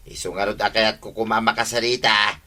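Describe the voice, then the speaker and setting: A man's voice: fairly low pitch, level tone, fairly quick, sharp tone. A man playing a helpful, boisterous village neighbor in a comedy radio drama. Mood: concerned. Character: helpful, boisterous male neighbor and buddy of the captain.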